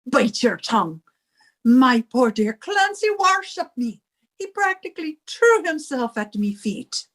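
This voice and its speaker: An older Irish widow who runs a boarding house in a vintage radio comedy. She is combative and melodramatic, with a very high, slow delivery that swings widely from bossy orders to wails. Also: big pitch swings, heavily acted. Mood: dramatic, indignant. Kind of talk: 1950s radio comedy acting.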